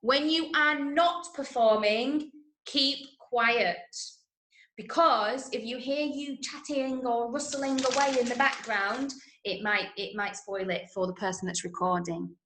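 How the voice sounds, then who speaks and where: A woman with an English accent leading an online drama workshop for children, giving clear instructions and often checking in. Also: fairly high pitch, measured pace, a bit bright. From Manchester, England. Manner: clearly instructional, frequent check-ins with okay and right. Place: indoors, online video session, roomy sound. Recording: roomy echo on online call.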